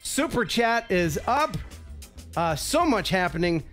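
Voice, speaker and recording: A man's voice: high-pitched, sing-song, slow, fairly bright tone, faint music behind. A man in his fifties with a head cold, hosting a casual live stream with upbeat emcee announcements and jokes. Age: 50s. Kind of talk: casual live show chat.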